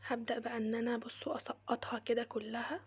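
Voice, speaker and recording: An Egyptian woman with a cold guides viewers through a casual home tutorial. Her voice is very breathy and sits a little high. She speaks in a soft, mostly whispered tone, with a narrow, even melody and friendly asides. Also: quick pace. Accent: Egyptian Arabic. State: has a cold, voice changed. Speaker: crochet maker demonstrating cleaning.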